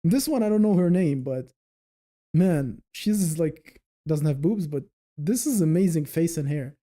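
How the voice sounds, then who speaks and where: A man's voice: on the high side, expressive, a little slow, warm tone. A man hosting a casual livestream, rambling and thinking aloud as he chats with viewers. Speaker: livestream host.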